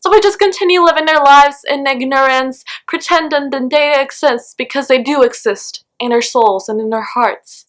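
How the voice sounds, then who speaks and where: A woman's voice: sits high, bright, forceful tone. A young woman hosting a casual, chatty book vlog, talking in a gushing, dramatic and self-mocking fangirl way. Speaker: book vlogger and host.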